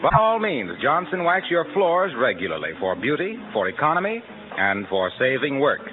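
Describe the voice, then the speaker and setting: A man's voice: fairly high pitch, sing-song, moderate pace. A man working as a 1940s radio announcer, reading scripted introductions and sponsor copy in a polished, salesmanlike way. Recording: narrow-band vintage radio, faint music.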